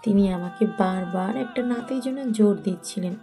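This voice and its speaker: A woman's voice: medium pitch, moderate pace, dark tone, soft background music, close to an everyday voice. An actress playing a cold, stern middle-aged mother in an audio story, speaking in a hard manner. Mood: cold, bitter. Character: cold, stern mother rejecting her son. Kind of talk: dramatized audio story acting.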